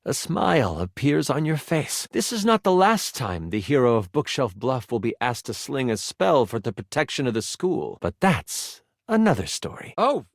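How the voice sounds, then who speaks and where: A man voicing an earnest, excitable, nerdy game master in a video game, with a theatrical tabletop narrating manner. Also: mid-range voice, moderate pace, vocal fry, few pauses, dry recording, studio quality, full character voice. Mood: dramatic. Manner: theatrical, earnest, awkwardly gushing.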